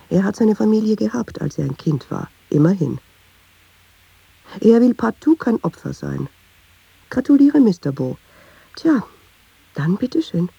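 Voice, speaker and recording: Radio drama: brooding woman, deliberate and introspective, dark creaky voice, dull recording. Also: mid-range voice, no echo, exaggerated voice. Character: brooding, persistent, troubled woman who argues with herself. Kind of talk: radio drama monologue and dialogue.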